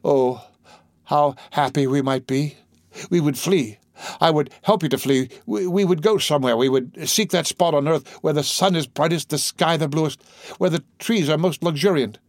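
A man's voice: a little high, moderate pace, no room sound, clean studio sound, voice pushed up, natural voice. A man reading the part of an anguished priest desperately pleading his obsessive love, in impassioned, theatrical declamation. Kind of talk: audiobook character reading.

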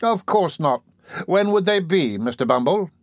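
A woman's voice: lowish voice, lively intonation, moderate pace, no room sound, studio quality, pitched above the performer's own voice, lightly acted. A man voicing a fussy, self-pitying middle-aged workhouse matron who talks peevishly and sharply. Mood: sugary. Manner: peevish, sharp, then sugary.

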